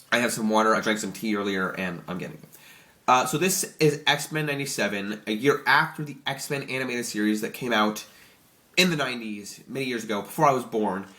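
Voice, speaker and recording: A young man with a stuffy, slightly nasal voice from allergies, rambling excitedly through a casual review with many restarts. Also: medium pitch. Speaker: YouTube reviewer.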